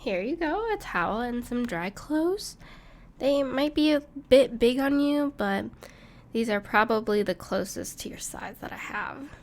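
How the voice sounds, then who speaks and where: Gentle, caring dragon friend, higher-pitched woman acting. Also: expressive, measured pace, a little hiss or hum. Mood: warm, hospitable. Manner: soft-hearted, reassuring, hesitant with small laughs. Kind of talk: intimate audio roleplay addressed to the listener.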